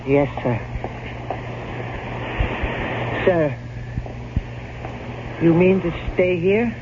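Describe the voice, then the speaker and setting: A man acting a frightened manservant in an old-time radio drama, speaking haltingly with dread and dramatic pauses. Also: high-pitched. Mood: fearful, anxious. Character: frightened, superstitious manservant in a gothic tale. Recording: muffled, very noisy old radio recording.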